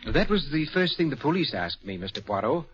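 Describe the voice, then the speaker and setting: A man's voice: on the high side, very animated, fairly quick. An actor playing a bossy, scheming male crook in a 1940s radio mystery. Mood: guarded, polite. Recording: dull, muffled 1940s radio recording.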